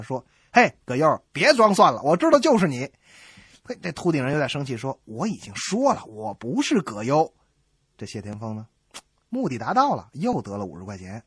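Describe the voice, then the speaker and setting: A man hosting a comedy radio show, telling a scripted joke in an animated way and acting out the dialogue. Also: mid-range voice, husky, no room sound, studio quality. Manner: animated, acts out quoted dialogue. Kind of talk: scripted comic storytelling, joke banter.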